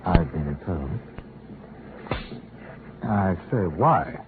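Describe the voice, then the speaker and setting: Pushy male crook, flirtatious, casual, lively pitch, vintage radio thriller, noisy recording. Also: drawn-out words, narrow, tinny audio, heavily acted. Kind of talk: 1950s radio drama acting.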